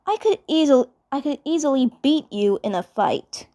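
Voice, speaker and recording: A woman's voice: sits high, expressive, normal speed, warm tone, voice pushed up. A woman voicing a proud, stubborn, defensive little male cartoon alien who mumbles in a prickly way.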